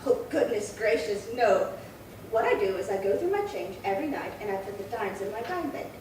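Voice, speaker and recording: A woman's voice: medium pitch, big pitch swings, a little fast, lightly acted. A woman acting a prim, chatty, indignant old lady in her late seventies in a 1950s-style radio crime drama, fussy and rambling. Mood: chatty, flustered. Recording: very echoey, distant, noisy room.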